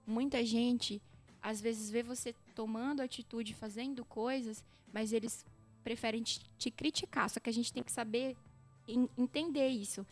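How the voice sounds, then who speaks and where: A young Brazilian woman with a Brazilian Portuguese accent, a podcast guest telling lively stories and speaking straight to listeners. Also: on the high side, moderate pace, soft background music. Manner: lively storyteller, often addresses listeners directly. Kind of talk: casual chat.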